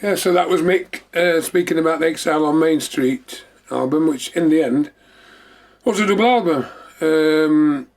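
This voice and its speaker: A man's voice: on the high side, normal speed. A man hosting a memorabilia show, chatting casually in a rambling, repetitive way. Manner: rambling, repeating himself. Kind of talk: casual show-and-tell chat.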